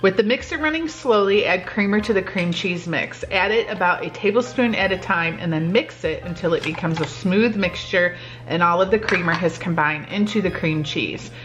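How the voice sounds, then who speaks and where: A woman's voice: lowish voice, moderate pace, a bit bright, soft background music. A woman in her forties chatting informally and giving recipe instructions in a home kitchen, with a teasing, casual manner. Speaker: home cooking vlog host.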